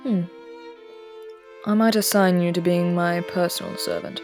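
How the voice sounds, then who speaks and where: A woman voicing an aloof, aristocratic immortal prince in an audio roleplay drama, speaking in a cool, commanding tone with soft murmured asides. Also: fairly low pitch, steady tone, a little slow, faint music behind, lightly acted. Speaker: voice actor.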